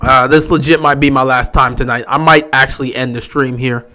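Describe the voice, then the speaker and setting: Hoarse young man streaming game commentary. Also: mid-range voice, fairly even intonation, fairly quick, sharp tone. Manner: frustrated, resigned rambling. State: hoarse, sore throat. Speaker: livestream host. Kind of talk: casual live game commentary.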